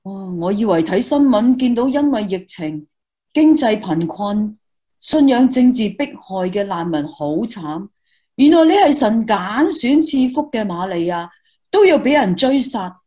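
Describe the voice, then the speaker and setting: A woman's voice: mid-range voice, lively intonation, a little slow, warm tone, close to an everyday voice. A woman with a Hong Kong Cantonese accent playing an eager, devout young student reporter, earnest and exclamatory, in a Christmas radio play. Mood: amazed, sympathetic.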